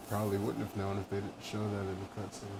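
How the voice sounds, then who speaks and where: A man's voice: lowish voice, completely flat delivery, quick pace, background noise. A man giving casual live commentary as a game streamer.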